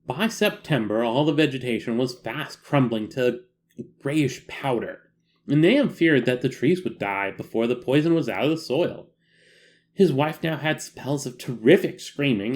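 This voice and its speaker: A man's voice: medium pitch, a little mellow. A man reading a classic horror short story aloud as scripted audiobook narration.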